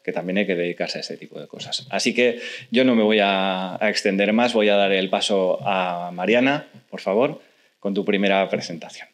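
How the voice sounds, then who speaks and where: A man's voice: low voice, moderate pace, slight background noise. A man moderating the event, briefly handing the floor to the next speaker. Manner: brief handover introduction.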